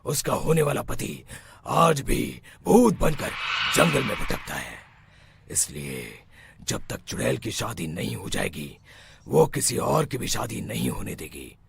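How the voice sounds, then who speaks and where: A man's voice: mid-range voice, big pitch swings, unhurried, creaky, slightly rough, exaggerated voice. A man voicing stern older village men in a cartoon story, emphatic and imperious. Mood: commanding. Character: stern older village men: father-in-law, landlord, exorcist.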